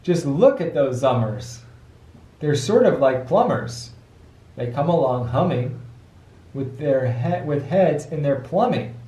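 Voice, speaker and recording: Mellow dad reading in an echoey room. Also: male voice, a little high, expressive, takes it slowly. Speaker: father reading a picture book aloud to his child. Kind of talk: read-aloud children's rhyming storytime. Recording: roomy echo, distant camera microphone.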